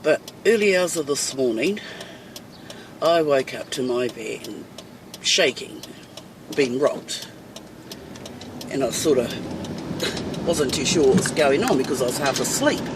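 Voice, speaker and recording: Slow, deep-voiced New Zealand woman ranting. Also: expressive. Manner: blunt, fiery, swears freely. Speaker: vlogger. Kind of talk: ranting to camera while driving. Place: inside a van in traffic. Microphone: phone camera. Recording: distant phone mic with loud road noise.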